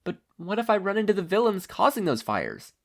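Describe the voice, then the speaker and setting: A reader doing an earnest high-pitched boy hero. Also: wildly swooping pitch, a little fast, gravelly, full character voice. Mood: alarmed, earnest. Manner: eager, polite, urgent.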